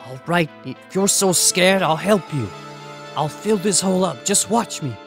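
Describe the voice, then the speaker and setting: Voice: medium pitch, lively intonation, unhurried, gravelly, soft background music. A performer voicing an anxious, earnest young man who narrates and acts in a horror manga dub. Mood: reassuring, urgent.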